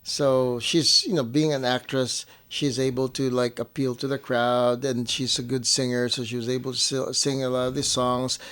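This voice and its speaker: Medium-pitched man explaining casually. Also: flat delivery, normal speed, few pauses, fairly bright tone, clear, resonant tone. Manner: informal, repeats and rephrases to clarify. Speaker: show co-host.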